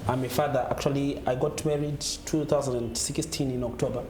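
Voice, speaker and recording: A man's voice: medium pitch, fairly even intonation, a little fast. Zimbabwean man in an echoey, noisy room. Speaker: gospel musician being interviewed. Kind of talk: conversational interview answers. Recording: echoey room with clear background noise.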